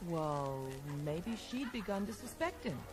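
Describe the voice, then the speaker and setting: A woman's voice: mid-range voice, very animated, a little slow, music in the background. An actress playing a witty, teasing woman in a radio drama, wry and playful. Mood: speculative, teasing. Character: witty, teasing American woman speculating on a mystery. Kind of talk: 1980s radio drama acting.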